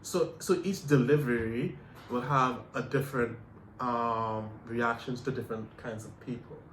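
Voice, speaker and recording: A man from Canada co-hosts a casual chat and talks in a reflective way, circling back with repeats and fillers. His voice sits at an ordinary pitch. The recording sounds roomy and has a faint hiss. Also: fairly even intonation, measured pace, fairly bright tone. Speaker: podcast co-host.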